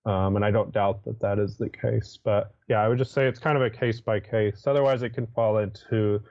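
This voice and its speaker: A man appearing as a guest in a debate, thinking aloud hesitantly with many pauses and restarts. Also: fairly low pitch, steady tone, dull audio. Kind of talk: casual debate conversation.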